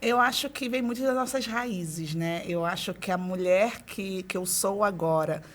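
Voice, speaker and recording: A woman with a carioca accent, a guest author and teacher, speaking in a relaxed interview. She talks about her roots in an earnest, reflective way, at a middle pitch. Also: a little slow. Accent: Rio de Janeiro (carioca). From Ceará, Brazil. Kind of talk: casual interview talk.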